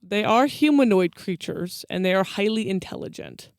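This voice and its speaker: A woman's voice: mid-range voice, animated, moderate pace, gravelly, no echo, clean studio sound. A woman co-hosting a podcast, explaining folklore in casual, informal chat. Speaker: podcast co-host.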